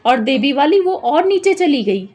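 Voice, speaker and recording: High-pitched woman giving opinionated gossip commentary. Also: sing-song, unhurried, darkish tone. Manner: opinionated, accusatory, repetitive. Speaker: commentary vlogger. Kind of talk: informal gossip commentary, recapping and judging a dispute.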